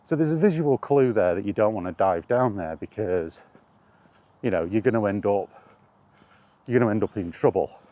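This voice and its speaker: A man's voice: lowish voice, expressive, moderate pace, long pauses, warm tone. A man talking in a casual, rambling monologue, reminiscing and thinking aloud as he goes. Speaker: host of a gaming chat vlog.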